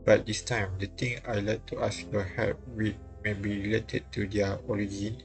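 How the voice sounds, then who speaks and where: A man reading visual novel dialogue and narration aloud on a live stream, halting and repeating lines as he goes. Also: fairly low pitch, little pitch movement. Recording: music playing behind the voice. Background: game music.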